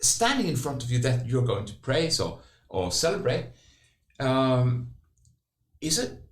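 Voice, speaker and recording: A man from the west coast of Norway, co-hosting a conversational podcast and talking in halting phrases full of restarts. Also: medium pitch, sing-song. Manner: halting, many restarts and repeated words. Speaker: podcast co-host. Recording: roomy echo.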